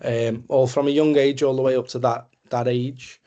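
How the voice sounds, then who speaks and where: Scouse man with a notably even pitch. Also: normal speed, highs cut off. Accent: Scouse, Liverpool. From Liverpool area, England. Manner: plain-spoken, conversational. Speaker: men's mental health support group speaker. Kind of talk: informal personal testimony presentation. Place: online webinar video call.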